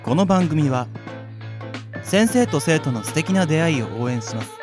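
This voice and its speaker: A man's voice: fairly high pitch, big pitch swings, moderate pace, over music. A man co-hosting a radio show, with casual banter and sponsor announcements. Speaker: radio co-host.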